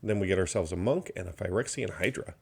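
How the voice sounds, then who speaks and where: A slightly hoarse man opening trading card packs, naming each card in a steady listing commentary with mild enthusiasm. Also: sits low, fast. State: slightly hoarse, recovering from illness. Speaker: card box opener. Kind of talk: unboxing commentary.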